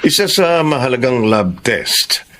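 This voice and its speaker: An actor playing a calm male doctor in a radio drama, explaining test results in a measured, clinical way. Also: mid-range voice, a little hiss or hum.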